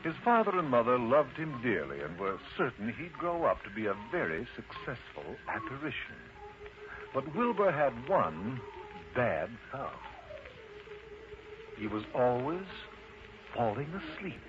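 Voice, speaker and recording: A man tells a children's story in a mid-pitched voice with hugely varied intonation. His narration is theatrical and emphatic, and the recording is dull and clearly noisy. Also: big pitch swings, a little slow.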